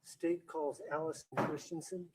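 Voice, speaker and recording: A man acting as prosecutor in a courtroom, questioning a witness in a halting way, often rephrasing mid-sentence. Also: a little high, little pitch movement, very noisy.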